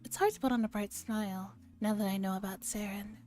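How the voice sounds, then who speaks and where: A woman streamer softly reading a visual novel aloud in an ASMR style, with gentle, casual asides to her chat. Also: mid-range voice, fairly even intonation, fairly quick. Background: music.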